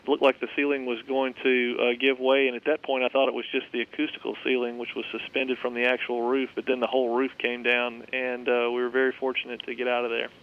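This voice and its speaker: A man interviewed live over a muffled, band-limited phone line. His voice is smooth and clear with very little pitch movement, and he explains things in a measured, halting way. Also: monotone. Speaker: head of school, phone interviewee. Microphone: telephone.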